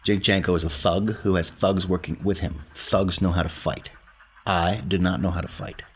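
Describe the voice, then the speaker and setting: Husky low-voiced man. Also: steady tone, moderate pace. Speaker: tabletop game player. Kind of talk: casual in-game discussion.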